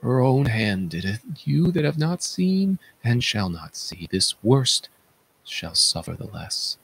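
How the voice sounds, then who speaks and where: A man voicing a solemn palace attendant who recounts horrors in a declamatory stage-tragedy reading, with dramatic pauses. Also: medium pitch, big pitch swings, vocal fry, fairly bright tone, slightly rough, heavily acted. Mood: somber.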